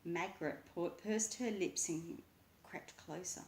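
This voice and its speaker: Slow woman storyteller in an echoey room. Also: fairly low pitch, a little hiss or hum. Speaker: story reader. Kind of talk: expressive children's book narration. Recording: roomy, echoing voice.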